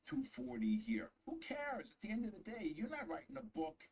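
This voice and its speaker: A man's voice: high voice, wildly swooping pitch, moderate pace, darkish tone, slight background noise. A man in his sixties, a veteran guest trader chatting on a live stream, boastful and teasing. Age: 60s. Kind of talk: casual chat on a live stream.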